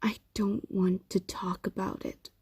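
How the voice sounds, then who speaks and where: A woman voicing a possessive, evasive fox demon in an intimate audio roleplay, speaking softly and often dropping into whispers. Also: medium pitch, little pitch movement, a little slow, husky, no room sound. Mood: reluctant, resigned. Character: possessive, evasive female fox demon doting on captive human.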